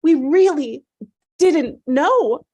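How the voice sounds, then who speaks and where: Woman acting a high-voiced, fragile, traumatised mother. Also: expressive, drawn-out words, a little mellow, muffled recording, exaggerated voice. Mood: shaken, recounting. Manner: faltering, repeating herself. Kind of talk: dramatic table read.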